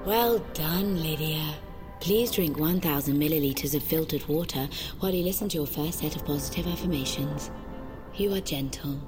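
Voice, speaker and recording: A woman voicing a calm, controlling female AI assistant that gives precise, soothing commands in an audio drama. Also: low-pitched, moderate pace, music in the background, close to an everyday voice. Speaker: narrator and AI voice.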